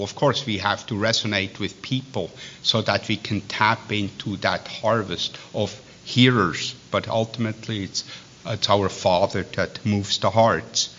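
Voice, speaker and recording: A man with a Swiss accent preaching a sermon, reading scripture and breaking off into halting, digressive asides full of restarts. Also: fairly low pitch, bright, forceful tone, slight background noise. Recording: dull lo-fi sound.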